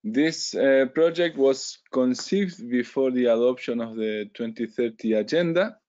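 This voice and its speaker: A man's voice: medium pitch, measured pace, darkish tone. Hesitant man presenting on a call. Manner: careful, with hesitations and self-corrections. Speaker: webinar speaker. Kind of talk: formal presentation. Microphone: video call. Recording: dull lo-fi call audio.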